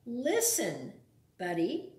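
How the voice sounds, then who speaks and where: A woman's voice: fairly high pitch, huge pitch swings, drawn-out words, a little mellow, reverberant, exaggerated voice. A woman voicing a firm, patient father rabbit in a read-aloud children's storybook. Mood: firm, requesting.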